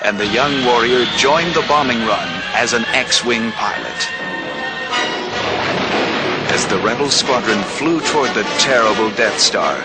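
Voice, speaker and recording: A man's voice: medium pitch, animated, measured pace, rough recording. A man narrating a scripted children's adventure read-along in a dramatic storytelling manner. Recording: loud music behind.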